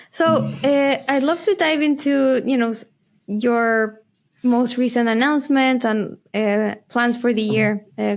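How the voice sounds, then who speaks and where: A woman from Chile hosting an interview, chatting casually with many fillers as she sets up her questions. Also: on the high side. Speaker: interviewer, host.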